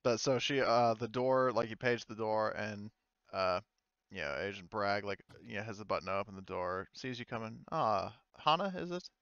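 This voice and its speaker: A man's voice: lowish voice, fairly even intonation, moderate pace, a bit bright, clear, resonant tone, no room sound, highs cut off. A man running a tabletop game, narrating casually in a joking, informal way. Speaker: game master.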